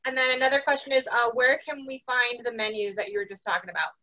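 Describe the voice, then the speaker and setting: A woman's voice: fairly high pitch, steady tone, fast, sharp tone, poor audio. A woman co-hosting an online session, chatting casually with an informal, joking manner. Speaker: co-host of an online cooking series.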